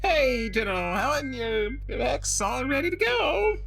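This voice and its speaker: A man's voice: very high-pitched, sing-song, unhurried, gravelly, fairly bright tone, heavily acted. A man playing a nervous, deferential young military subordinate in a sci-fi audio drama, prone to stammering. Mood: eager, deferential.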